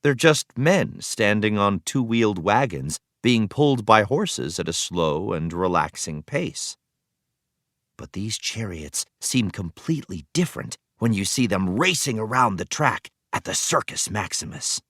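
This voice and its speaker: Male educational narrator, lowish voice in a dry recording, expressive read-aloud delivery with storytelling emphasis. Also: unhurried, dry recording. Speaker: teacher reading aloud.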